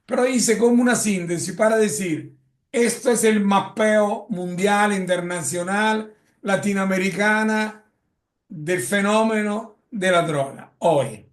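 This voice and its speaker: A man with an Italian accent gives a lecture in Spanish, often halting with fillers and correcting himself. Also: high voice. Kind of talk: lecture presentation. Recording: echoey room.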